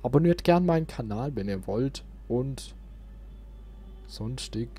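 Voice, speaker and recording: A man's voice: mid-range voice, expressive, slow pace, dark tone, slightly rough. A young man hosting a let's play with casual gameplay commentary. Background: video game sound.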